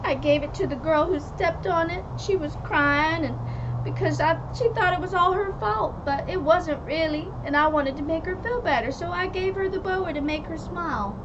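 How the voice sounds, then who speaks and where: A woman voicing an earnest little girl princess in a high, childlike, pleading voice. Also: moderate pace, distant mic. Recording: muffled, with loud background noise.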